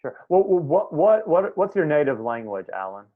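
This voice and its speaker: A man's voice: fairly high pitch, normal speed. Man teaching meditation over a tinny line. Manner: relaxed, conversational, checks in with students often. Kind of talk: live online class teaching. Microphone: video call.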